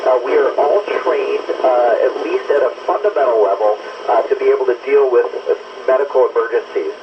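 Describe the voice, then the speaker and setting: A man's voice: medium pitch, brisk. A man speaking as an astronaut over amateur radio, explaining things to students in a plain, enthusiastic question-and-answer style. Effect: amateur radio transmission. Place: aboard a space station. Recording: muffled, faintly noisy ham radio link.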